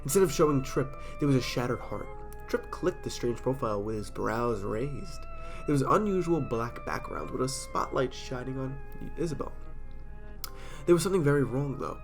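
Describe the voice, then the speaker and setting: A man's voice: mid-range voice, lively intonation, normal speed, music in the background. A man with a stuffy cold, casually reading horror stories aloud in a chatty, self-deprecating way and sometimes stumbling over words. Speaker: storyteller.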